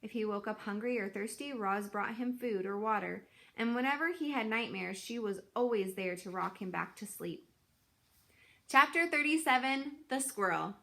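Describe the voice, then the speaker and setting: A woman reading a children's book aloud in an expressive, deliberate, dramatic storytelling style. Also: medium pitch, vocal fry.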